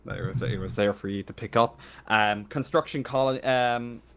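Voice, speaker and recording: A man's voice: medium pitch, fairly bright tone, clean tone. A man speaking as game master of a tabletop game, unscripted, hesitant and often correcting himself. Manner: hesitant, halting, self-correcting.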